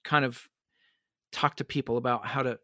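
A man, a pastor, answering interview questions in an earnest, reflective way, his voice worn hoarse by allergies. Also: medium pitch, fairly even intonation, moderate pace, pushed and bright, no echo, clean studio sound. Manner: earnest, reflective, many restarts. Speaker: pastor and advocate.

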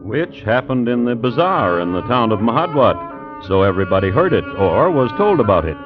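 A man narrating a scripted 1950s radio drama as its host, with wry, measured storytelling. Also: mid-range voice, big pitch swings. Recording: dull old radio recording with music. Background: music.

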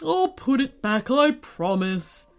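A woman's voice: high voice, sing-song, takes it slowly, exaggerated voice. A woman voicing a giant red male alien villain in a children's story, blustering, weepy and melodramatic, with music behind. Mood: sorrowful. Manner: wailing, bellowing, melodramatic.

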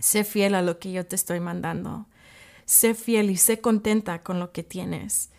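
Female podcast host, English as first language. Earnest devotional encourager, slow delivery with searching pauses and repeated key phrases. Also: medium pitch, fairly even intonation, a little mellow.

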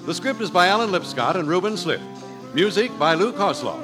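A man's voice: sits high, sing-song, fairly quick, poor audio. A man with an American accent announcing and selling on 1940s radio in a hearty, emphatic style. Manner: hearty, emphatic sales delivery. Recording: vintage radio broadcast recording. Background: music.

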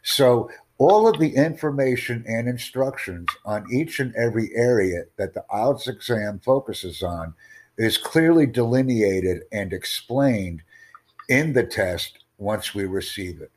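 Man, mid-pitched voice, podcast tutor, slow formal delivery, rhetorical questions. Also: expressive. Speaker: IELTS tutor and podcast host. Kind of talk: teaching podcast Q&A.

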